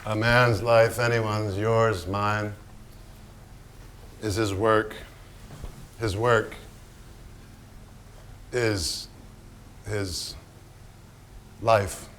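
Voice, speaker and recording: A man's voice: lowish voice, fairly even intonation, extremely slow, pauses often, a bit bright, a little hiss or hum. A man on stage playing a self-destructive, hard-drinking, raging painter in a theatrical monologue, declaiming in short blunt phrases. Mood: grave. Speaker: stage actor in a staged reading.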